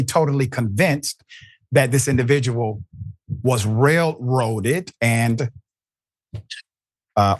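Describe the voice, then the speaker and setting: A man's voice: medium pitch, slightly rough, no room sound. A man hosting a news commentary show, reading reports and then arguing his view with rising emphasis. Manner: emphatic, rising to stress points. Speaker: host.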